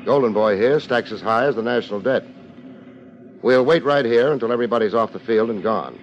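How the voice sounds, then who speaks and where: An American actor playing a laid-back, wisecracking private investigator in a 1950s radio drama, dry and unhurried. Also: male voice, medium pitch, lightly acted. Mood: businesslike, firm. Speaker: lead actor and first-person narrator. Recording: dull, noisy old radio recording.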